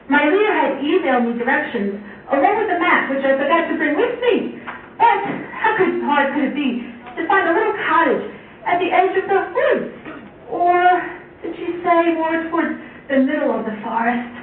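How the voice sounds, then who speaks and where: A woman's voice: extremely high pitch, animated, moderate pace. A woman voicing a self-assured, chatty little storybook girl in a children's puppet show, earnestly explaining her side to the audience. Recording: muffled, echoey far-off recording, faint noise.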